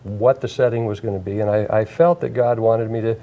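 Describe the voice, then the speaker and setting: A man's voice: on the low side, fairly even intonation, brisk, highs cut off. An older man hosting a studio interview as a pastor, telling a story earnestly and reflectively. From Washington state, USA. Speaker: pastor host. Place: studio set, indoors. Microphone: lapel mic.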